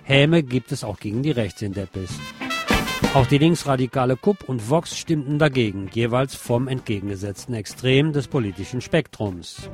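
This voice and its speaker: A man presenting scripted local news on the radio in a measured newsreader's delivery. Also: medium pitch, animated, loud music behind the voice.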